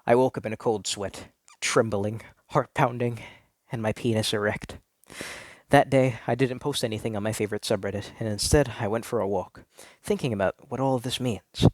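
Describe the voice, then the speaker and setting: A man's voice: mid-range voice, no echo, natural voice. A man playing an insecure, self-deceiving traditional guy who confesses an obsession in an earnest, theatrical dramatic reading. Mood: obsessed, troubled, then self-doubting. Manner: theatrical, earnest, with dragged words and indignant outbursts. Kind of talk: melodramatic first-person dramatic reading.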